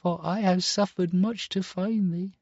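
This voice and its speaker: A man's voice: sits high, fairly even intonation, unhurried, a little mellow, no room sound, dull audio, voice pushed up. A man doing a pleading beggar mother. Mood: imploring. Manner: imploring, tearful. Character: grieving ragged beggar mother.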